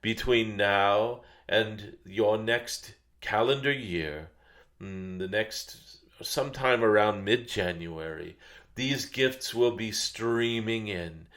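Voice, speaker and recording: A man channeling an ancient, gentle, wise dragon spirit, delivering a spiritual message in a solemn meditative way, with pauses and murmured hums. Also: fairly low pitch. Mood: gentle, instructing.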